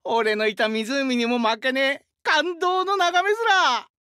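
A male actor voicing a burly, friendly young sumo-wrestler god in an anime game, with rough, hearty speech and a rural Shinshu Japanese dialect. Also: extremely high pitch, a little slow, sharp tone, dry recording, clean studio sound, heavily acted. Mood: excited. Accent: rural central Japanese dialect (Shinshu). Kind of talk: anime game character voice lines.

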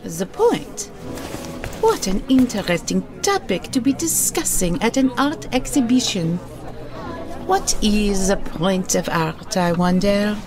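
Imperious French madame over music. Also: female voice, mid-range voice, very animated, takes it slowly, crowd noise. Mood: musing. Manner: formal, grandly poised. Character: wealthy, imperious French madame, suave art collector. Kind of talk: full-cast audio drama acting.

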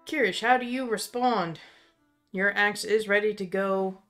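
A woman runs a tabletop roleplaying game as game master. She narrates slowly at a middling pitch, describing scenes to her players in a casual, storytelling way. Soft music plays behind her. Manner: descriptive second-person storytelling.

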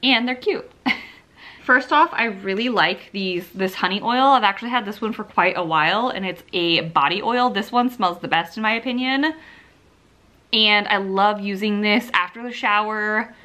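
A woman's voice: mid-range voice, moderate pace, sharp tone. A woman in her thirties chatting casually through a beauty haul at home, upbeat and full of "like" fillers, seated close to the camera. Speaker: beauty vlogger. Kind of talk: casual product haul chat. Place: living room at home, indoors. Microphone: camera mic, seated close to the camera.

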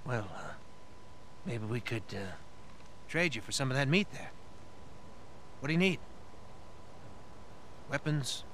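An American man playing a calm survivor negotiating in a video game, measured, folksy and placating. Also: medium pitch, a little hiss or hum. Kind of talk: video game cutscene acting.

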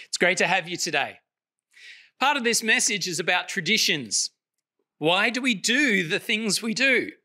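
High, very bright male preacher. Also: animated, moderate pace. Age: middle-aged. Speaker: chaplain, preacher. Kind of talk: conversational sermon. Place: indoor chapel at a lectern. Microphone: lectern microphone.

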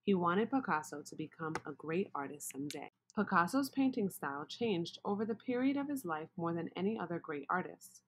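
A woman reading a children's picture book aloud, expressive and asking the listener questions. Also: lowish voice, fairly quick, few pauses, slight background noise. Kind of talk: read-aloud storybook narration.

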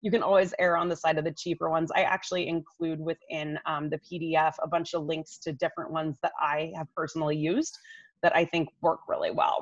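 A woman's voice: sits low, flat delivery, brisk. A woman in her thirties giving informal, explanatory expert advice straight to the listener on a video call from her living room. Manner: conversational, explanatory, addresses listener directly. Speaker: image coach giving expert tips. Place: living room at home, indoors. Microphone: laptop microphone on a video call. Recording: slightly dull, roomy webcam audio.

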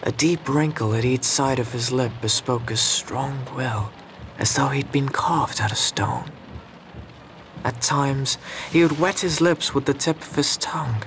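A man playing a reflective, observant storyteller, narrating a radio drama in measured, literary phrases. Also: medium pitch, noisy background, lightly acted.

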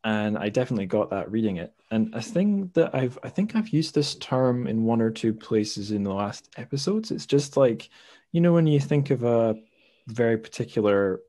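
A man hosting a podcast interview, relaxed and thinking aloud as he frames his questions. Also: mid-range voice, moderate pace. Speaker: podcast host. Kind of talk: casual interview.

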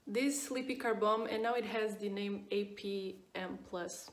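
A woman's voice: medium pitch, fairly even intonation, unhurried, far from the mic. Portuguese-accented woman in an echoey room. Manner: chatty, repeats words, frequent fillers. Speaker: skincare vlogger. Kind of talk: casual product review.

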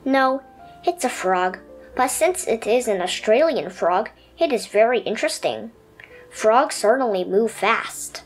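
Woman reading as a high-voiced, dreamy little turtle. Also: a little slow, faint music behind. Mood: dreamy, wondering. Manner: expressive storybook first-person telling. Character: dreamy, adventurous little pet turtle, earnest and easily amazed.

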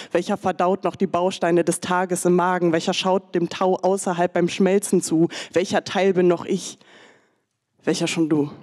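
A young woman performing her own poem at a poetry slam on a dark stage, delivered rhythmically and ironically into a stand microphone. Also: medium pitch, in a large hall. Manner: rhythmic, ironic, rising to intense. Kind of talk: spoken word performance.

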